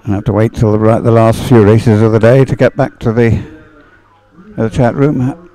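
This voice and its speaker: A man giving live, off-the-cuff race commentary in a halting, rambling way, hesitating as he searches for words. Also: fairly low pitch, little pitch movement, dark tone, rough recording.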